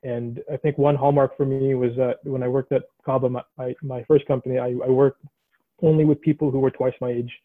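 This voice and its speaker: Flat-voiced man on a tinny line. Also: mid-range voice, fairly quick, no room sound. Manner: thinking aloud, many restarts. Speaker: alumni mentor in consulting. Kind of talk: casual advice on a video call. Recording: tinny phone-like line, dry.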